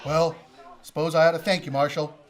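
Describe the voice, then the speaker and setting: A man plays a big drifter who is tired of killing. His voice sits a little high for a man, and he is terse and restrained, in old-time radio western style. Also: little pitch movement, fairly quick, lightly acted. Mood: weary. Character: big, war-weary, unarmed drifter, reluctant to fight.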